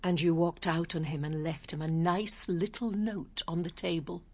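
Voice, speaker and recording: A woman's voice: on the low side, fairly even intonation, normal speed. An actress in a radio drama playing a frank, wounded woman who sings opera and is in love. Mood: scornful.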